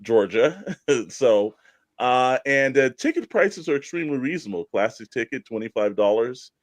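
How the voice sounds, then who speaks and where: A man with a mid-pitched voice hosts a casual panel show. He talks conversationally, and the sound is close and dry, with very little room on it. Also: very animated, moderate pace, no echo. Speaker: show host.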